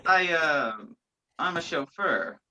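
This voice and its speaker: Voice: mid-range voice, lively intonation, slow, sharp tone, a little hiss or hum, heavily acted. A performer playing an earnest, anxious man on the run in a radio play, polite and hesitant. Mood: polite, guarded. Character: earnest, anxious male fugitive posing as job seeker.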